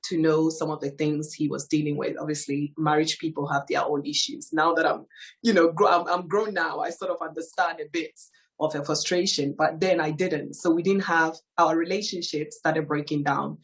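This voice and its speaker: Low-pitched ghanaian-born woman, thirties, hesitant and soft, guest telling her story. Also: fairly even intonation, normal speed. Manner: soft-spoken, hesitant, frequent "um" and "obviously". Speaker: guest giving testimony. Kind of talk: personal testimony in an interview.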